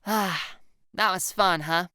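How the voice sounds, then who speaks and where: Woman acting a bright, teasing young woman. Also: on the low side, takes it slowly, clear, resonant tone, dry recording, studio quality, voice pushed up. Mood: playful excitement. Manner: bubbly, teasing, theatrical. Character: teasing, excitable, playful young woman, mock-dramatic. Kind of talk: audiobook character dialogue.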